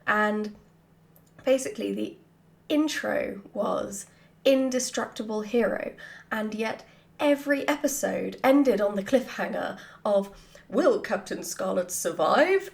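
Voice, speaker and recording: A woman chatting casually and answering questions in an animated, giggly, self-deprecating way, as a writer hosting a Q&A. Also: mid-range voice, takes it slowly, gravelly.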